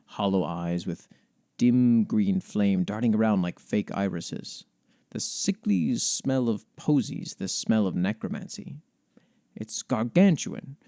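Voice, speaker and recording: A man hosting a podcast, reading fantasy lore in an expressive, measured storytelling way with casual commentary. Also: on the low side, gravelly, dry recording, studio quality. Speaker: podcast host.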